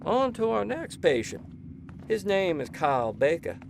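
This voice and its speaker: Actor as a stern, gravelly asylum doctor. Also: male voice, high-pitched, big pitch swings, very noisy, exaggerated voice. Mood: brisk, formal. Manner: formal, authoritative, deliberate. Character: stern, controlling male asylum doctor, quietly ominous. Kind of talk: audio drama acting.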